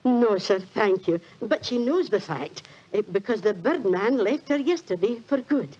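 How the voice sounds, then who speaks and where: A woman's voice: mid-range voice, lively intonation, a little slow, darkish tone. An actress with a British accent playing a humble, apologetic landlady in 1940s radio style. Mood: deferential, flustered. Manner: deferential, apologetic. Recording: muffled 1940s radio recording.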